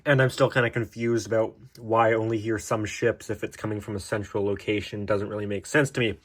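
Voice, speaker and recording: A man's voice: fairly low pitch, little pitch movement, brisk. Casual, puzzled man rambling to camera. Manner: puzzled, self-deprecating, swears casually. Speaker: hobbyist video host.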